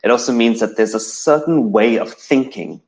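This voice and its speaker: A lecturer from South Africa with a South African English accent, talking through slides in an academic seminar, hesitant with many fillers and restarts. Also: male voice, medium pitch, moderate pace, darkish tone, low-quality recording.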